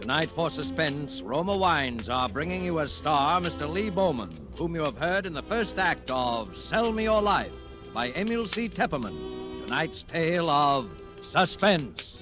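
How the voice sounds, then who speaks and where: A male 1940s radio announcer reading a scripted introduction. His voice is fairly high and swings in a lively way, with music playing clearly behind him on an old broadcast recording. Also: measured pace.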